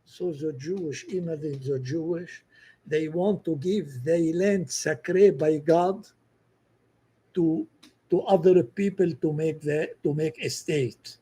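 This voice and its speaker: Slow-talking Lebanese man, high voice. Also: warm tone, slightly rough, poor audio. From northern Lebanon. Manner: slow, broken English with French phrases, appealing. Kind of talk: political monologue.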